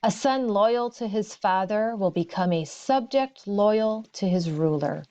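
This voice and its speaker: A woman voicing a wise, courteous old male teacher in a storybook, speaking in a formal, measured way. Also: mid-range voice, warm tone, highs cut off, lightly acted. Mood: wise, instructive.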